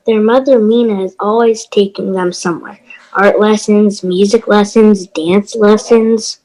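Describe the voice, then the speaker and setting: A woman reading a friendly, helpful neighbor in a fairy-tale readers theater, gentle and encouraging. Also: mid-range voice, steady tone, a little slow, lightly acted, low-quality recording. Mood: chatty.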